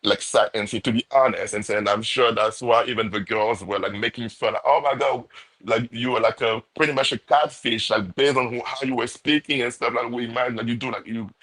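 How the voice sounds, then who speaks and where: A man's voice: medium pitch, fairly even intonation, a little fast, fairly bright tone, poor audio. A man whose first language is not English, chatting casually with frequent laughs, searching for words. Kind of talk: casual live chat.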